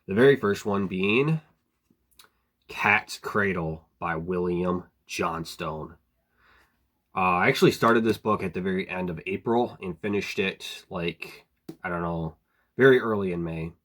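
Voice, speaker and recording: Slightly hoarse man reviewing books casually. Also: low-pitched, unhurried. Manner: relaxed, rambling, frequent "like" and "um", clears throat often. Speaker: book reviewer. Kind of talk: casual book review vlog.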